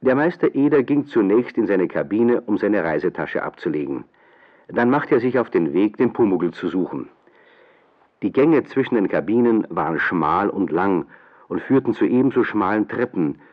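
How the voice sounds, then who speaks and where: Man, low voice, children's story narrator, scripted, thin phone-like old recording. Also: expressive, normal speed. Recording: thin, band-limited old radio play.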